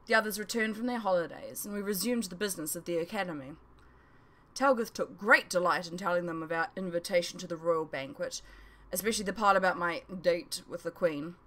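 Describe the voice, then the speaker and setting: Low-voiced woman narrating a novel. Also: a bit bright. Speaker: author reading her own audiobook. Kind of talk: first-person storybook narration.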